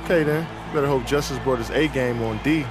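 Man acting as quick-talking cocky rival. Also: medium pitch, music in the background, lightly acted. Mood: cocky, taunting. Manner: taunting, brash. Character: cocky trash-talking male basketball rival. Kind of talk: video game cutscene acting.

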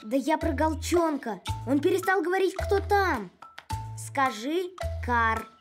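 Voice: sits high, lively intonation, slow pace. A performer voicing a thoughtful young boy with an earnest, mildly reproving manner in a children's cartoon. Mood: concerned. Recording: loud music behind.

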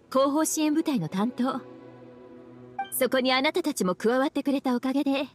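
Sultry teasing witch librarian. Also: sits high. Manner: languid, teasing, calls herself big sister. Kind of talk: Japanese game dub.

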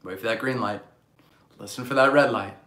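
A man in his thirties coaching a live online gym class for kids from his living room, calling out energetic commands and countdowns. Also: fairly low pitch, a little fast. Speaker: fitness coach. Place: bright living room, indoors. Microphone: camera across the room. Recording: echoey room.

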